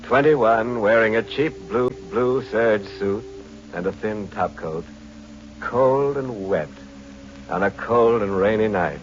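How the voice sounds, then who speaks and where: An actor playing a ghoulish, sardonic host of a 1940s radio horror show, theatrical and darkly mocking. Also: male voice, lowish voice, slow, a little hiss or hum. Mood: somber storytelling. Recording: muffled vintage radio broadcast with music.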